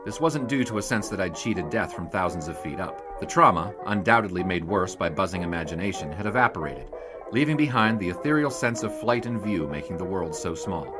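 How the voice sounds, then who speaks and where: A man's voice: sits low, lively intonation, a little fast, over music. A man playing a dry, wisecracking 1920s newspaper sports writer in an audio drama, in both dialogue and narration. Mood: reflective.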